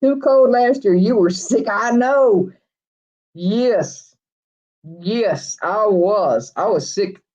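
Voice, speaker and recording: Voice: medium pitch, lively intonation, drawn-out words, a little mellow. A livestream host rambles through viewer comments in casual chat. The host chuckles often and restarts sentences a lot. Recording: dull lo-fi livestream audio.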